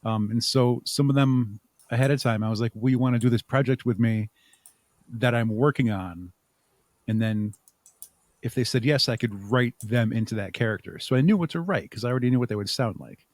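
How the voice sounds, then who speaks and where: A man's voice: medium pitch, a little fast, a little mellow, no room sound. A man speaking casually as a podcast guest, explaining his creative work in a rambling, chuckling way. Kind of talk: casual interview chat.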